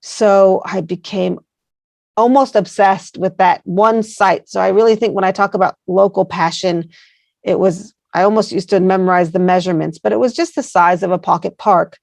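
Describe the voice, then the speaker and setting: A woman's voice: mid-range voice, moderate pace, gravelly, darkish tone, dry recording. A woman with an American accent, answering interview questions earnestly, with many fillers and rambling asides. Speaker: guest, placemaking founder.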